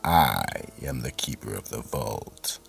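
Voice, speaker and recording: A man playing the sinister keeper of a horror vault, narrating in an ominous, theatrical, deliberate way with a gravelly voice. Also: rumbling low, animated, faint music behind, full character voice. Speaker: host and narrator. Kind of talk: old-time radio horror narration.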